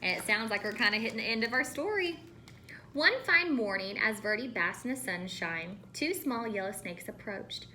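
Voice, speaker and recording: A woman reading a storybook aloud to children as a teacher, expressive and pausing to ask questions. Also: mid-range voice, slight background noise.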